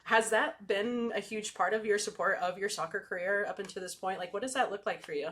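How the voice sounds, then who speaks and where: A woman's voice: mid-range voice, brisk, fairly bright tone. Woman sports podcast host, says y'all. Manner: friendly, says "y'all", many fillers. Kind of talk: casual sports podcast chat.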